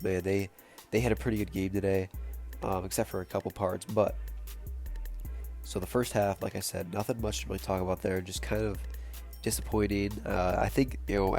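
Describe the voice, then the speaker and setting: A man's voice: deep voice, a little mellow. A young man with a bad cold and stuffed-up nose, casually recapping a football game with lots of fillers. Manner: casual, rambling, many fillers. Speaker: sports podcast host.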